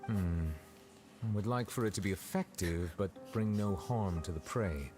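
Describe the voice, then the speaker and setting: An actor playing a grave, principled man in a video game, speaking formally and deliberately. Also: low voice, warm tone, soft background music. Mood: solemn.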